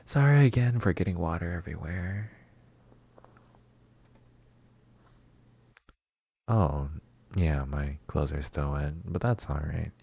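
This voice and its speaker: Man playing a shy, husky, low-voiced male neighbor. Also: moderate pace, no room sound, exaggerated voice. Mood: apologetic. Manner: hesitant, soft-spoken, apologetic, breathy sighs and whispers. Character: shy, polite, flustered male neighbor stranded by rain. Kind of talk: intimate roleplay ASMR acting.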